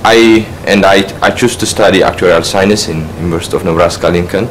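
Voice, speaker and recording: Deep-voiced man, halting practice speech. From Eritrea. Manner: halting, many restarts, searching for words. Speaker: speech trainee. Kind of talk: practice self-introduction speech. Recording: noisy room ambience.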